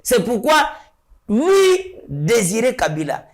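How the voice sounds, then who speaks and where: A man's voice: very high-pitched, wildly swooping pitch, slow. A man from Kasai, a studio guest making emphatic rhetorical points in a political talk show. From Kasai, DR Congo. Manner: emphatic, rhetorical, repeats points and asks "hein?".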